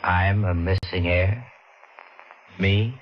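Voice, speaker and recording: An actor playing a sardonic, hard-boiled down-and-out drifter, in 1940s radio acting. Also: male voice, sits low, fairly even intonation, slow. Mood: wry. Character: wisecracking down-and-out male drifter. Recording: muffled old radio recording with loud hiss.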